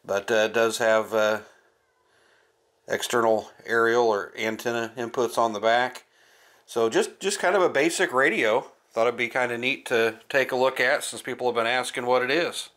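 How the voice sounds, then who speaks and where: Bright-voiced man, casual show-and-tell. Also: medium pitch, moderate pace. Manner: casual, explanatory. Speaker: vintage electronics channel host. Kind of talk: informal product show-and-tell narration.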